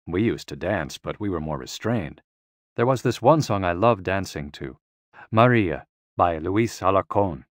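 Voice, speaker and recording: A man's voice: deep voice, animated, unhurried, no echo. Audiobook role: contented centenarian woman read by a man, reflective and simple, dry close sound. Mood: fond, reflective. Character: contented, reminiscing very old woman. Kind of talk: audiobook quotation.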